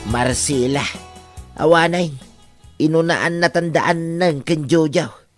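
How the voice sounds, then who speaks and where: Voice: mid-range voice, slow, over music, close to an everyday voice. An actor playing a reasoning older parent with an Ilocano accent in a comedy radio drama. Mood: matter-of-fact.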